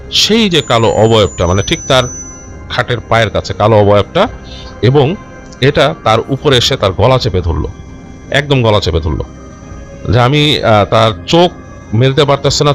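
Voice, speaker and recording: A man telling a horror story informally and unscripted, in a halting, conversational way with repeated phrases. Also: mid-range voice. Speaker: storyteller of a ghost story show. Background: background music.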